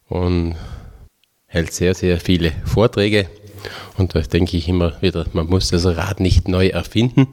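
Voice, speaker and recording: A man's voice: sits low, darkish tone. A man in his fifties from Tyrol with a Tyrolean accent, a religious brother giving a chatty, homely spiritual talk to a group. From Tyrol, Austria. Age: 50s. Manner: homely, chatty, self-deprecating with dialect phrases.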